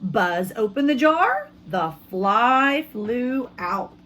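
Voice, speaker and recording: Slow-paced woman teacher reading to children. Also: a little high. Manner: pauses to ask children questions; expressive storybook reading. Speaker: elementary school teacher. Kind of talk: read-aloud lesson for young children.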